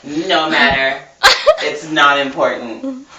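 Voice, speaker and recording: An amateur reader with a lively, swinging delivery, putting on mock drama and prone to giggling. The recording is roomy and distant, with clear noise. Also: mid-range voice, takes it slowly. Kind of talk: casual dramatic reading aloud from a novel. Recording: roomy, distant mic, muffled, noisy.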